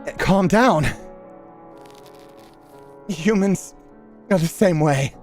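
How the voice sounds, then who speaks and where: A rough-tongued young game hero, acted out by a male reader, pitched up from a low register and pushed forward. He is blunt and quick to curse, yet coaxing and protective. Music plays clearly underneath. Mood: strained, soothing. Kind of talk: dramatic reading of visual novel dialogue.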